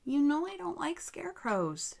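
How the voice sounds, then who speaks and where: A woman's voice: sits high, very animated, moderate pace, creaky, a little mellow, slight background noise, full character voice. A woman playing an anxious, stubborn farm schoolgirl in a horror radio drama, speaking hesitantly.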